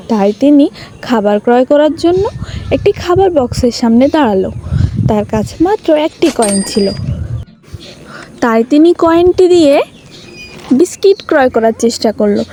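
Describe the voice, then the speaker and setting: A woman's voice: high-pitched, warm tone, slight background noise. A woman narrating a scripted story for young children as a storyteller.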